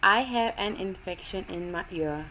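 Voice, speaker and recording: A woman's voice: on the low side, sharp tone, slight background noise, rough recording. A woman teaching English vocabulary, reading each simple sentence twice for learners to repeat. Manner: reads each sentence twice, measured and deliberate. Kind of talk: slow repeat-after-me vocabulary drill.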